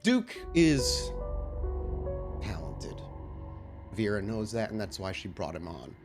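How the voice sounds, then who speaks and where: A man improvising narration as game master of a tabletop game, with a halting delivery full of repeated words. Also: lowish voice, big pitch swings, over loud music. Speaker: game master of an actual play podcast.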